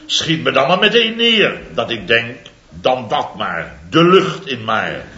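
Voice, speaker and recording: A theatrical, self-important boss in his fifties for a vintage radio comedy. His delivery is bright and lively, leaping between booming proclamations and low grumbles. The recording sounds dull and roomy. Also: slow, full character voice. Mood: grumbling. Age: 50s. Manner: bombastic, theatrical, swinging from booming to muttering. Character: pompous blustering fifty-something male building-firm boss. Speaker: company boss. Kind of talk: 1960s radio comedy acting.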